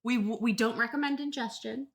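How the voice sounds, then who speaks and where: A woman playing a chipper, gently condescending wellness influencer in an improvised comedy interview. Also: a little high, fairly even intonation, a little fast, a crowd in the background, close to an everyday voice. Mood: salesy, condescending. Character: chipper, sanctimonious female wellness influencer peddling quack products.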